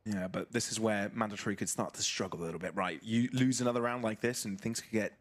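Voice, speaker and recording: A man doing live play-by-play commentary on an esports match, conversational and breaking into excited calls. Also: fairly low pitch, quick pace, a little hiss or hum.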